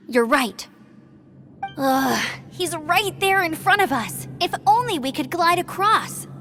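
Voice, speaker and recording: A woman's voice: high voice, expressive, slow, sharp tone, soft background music, heavily acted. An actress playing a spirited, determined young female knight scout in a video game. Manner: eager and determined.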